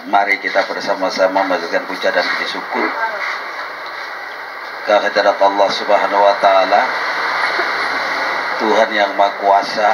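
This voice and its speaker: A man giving a formal speech with a religious opening at a community gathering, measured and lightly joking. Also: fairly low pitch. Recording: noisy event recording.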